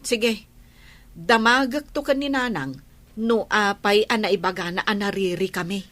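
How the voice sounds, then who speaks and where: An actress with an Ilocano Filipino accent playing a feisty, easily offended village wife in a comedy radio drama. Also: female voice, mid-range voice, unhurried, fairly bright tone, no echo, close to an everyday voice. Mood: aggrieved. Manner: confrontational, questioning.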